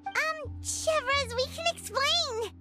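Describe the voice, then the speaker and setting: A woman voicing a tiny, eager, childlike fairy companion in a video game, with a squeaky, excitable delivery. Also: slow pace, loud music behind the voice. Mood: flustered. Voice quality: squeaky, piping. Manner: excitable, speaks of self in third person. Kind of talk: video game character acting.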